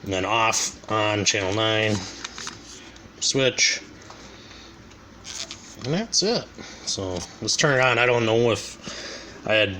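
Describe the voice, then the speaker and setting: A man's voice: on the low side, animated, takes it slowly, long pauses, pushed and bright, low-quality recording. A man giving a casual, unscripted hands-on demonstration in a plain-spoken, rambling way, recorded on a handheld camera at a desk indoors. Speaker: hobbyist demonstrating a radio. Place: indoors at a home radio desk. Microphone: handheld camera mic, near the desk. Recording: clear background noise, handheld camera.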